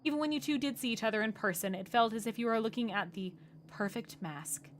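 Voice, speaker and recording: A woman streaming a game, reading its text aloud and reacting in an animated, exasperated way, with a hoarse voice. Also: a little high, brisk, slight background noise.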